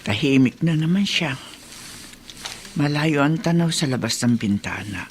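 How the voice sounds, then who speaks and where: Slow, pensive Filipino father. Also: a little high, expressive, slight background noise. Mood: pensive, worried. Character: troubled, brooding father watching over his son. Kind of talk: Filipino radio drama monologue.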